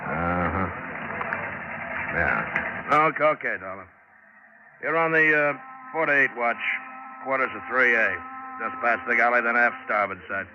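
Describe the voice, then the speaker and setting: Brief: gruff, idle ship's chief officer played by a man, loud, muffled noisy radio. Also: medium pitch, expressive, measured pace, pauses often, close to an everyday voice. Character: bulky idle male chief officer of a ship. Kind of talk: 1940s radio acting. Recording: muffled old radio broadcast with noise.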